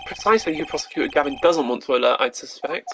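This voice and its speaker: A woman's voice: low-pitched, expressive, quick pace, a bit bright, a little hiss or hum, voice pushed down. A woman voicing an earnest, wry young male defence attorney in an amateur video game voice-over, dry and a little hesitant. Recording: game music behind the voice.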